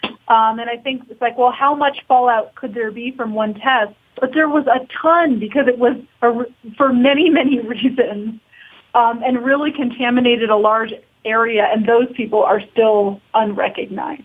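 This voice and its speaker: A woman's voice: a little high, steady tone, moderate pace. Woman on thin, phone-like audio. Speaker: documentary producer, interview guest. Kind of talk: casual interview talk. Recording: thin, phone-like narrow band.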